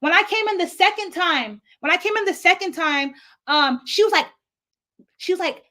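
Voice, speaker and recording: A woman's voice: extremely high pitch, normal speed. A young woman with a faint Nigerian accent telling a chatty, rambling storytime vlog full of asides and swearing. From San Francisco Bay Area, US. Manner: chatty, animated, digressive, profane. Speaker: vlogger.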